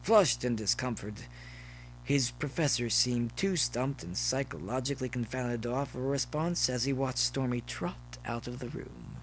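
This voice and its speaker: A man's voice: medium pitch, moderate pace, rough recording. Man narrating a story over faint hum. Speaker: narrator, fan fiction reader.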